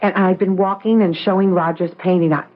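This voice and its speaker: Actress as anxious wife in muffled radio drama. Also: female voice, lowish voice, steady tone, a little fast, natural voice. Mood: earnest, urgent. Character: anxious, distraught wife desperately searching for her missing husband. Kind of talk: 1970s radio drama acting. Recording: dull old radio recording with music.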